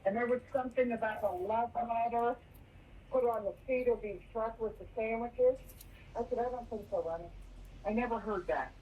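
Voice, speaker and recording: A woman's voice: fairly high pitch, moderate pace, distant mic, slight background noise. A woman talking casually on a phone call that is played back in a courtroom.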